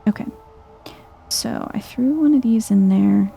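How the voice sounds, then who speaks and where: A soft-spoken woman giving a chill, quiet ASMR-style commentary as she plays a video game. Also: medium pitch, a little slow, vocal fry, soft background music. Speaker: gaming video host.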